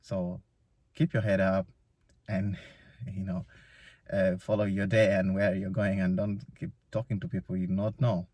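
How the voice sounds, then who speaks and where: A man's voice: low-pitched, level tone, unhurried, dark tone, no echo. A young man from Uganda, talking casually to camera in a loose vlog full of "you know" fillers. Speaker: vlogger. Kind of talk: casual solo vlog monologue.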